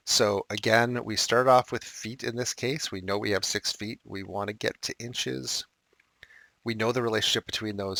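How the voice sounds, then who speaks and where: A male math teacher walking through unit conversions step by step, with casual asides and a slightly raspy, dry-throated voice. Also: on the low side, pushed and bright, dry recording. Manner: explains step by step with asides and fillers. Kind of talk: recorded math lesson walkthrough.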